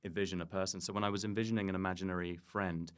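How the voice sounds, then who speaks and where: Man, lowish voice, casual comic podcast chat, self-deprecating, joking. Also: fairly even intonation, racing, clear, resonant tone. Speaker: comedian guest on a podcast.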